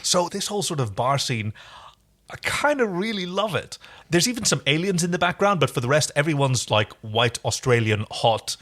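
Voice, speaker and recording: A man's voice: on the high side, sing-song, normal speed, a bit bright. A man chatting casually and effusively as he co-hosts a recap podcast about a TV series. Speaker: podcast co-host.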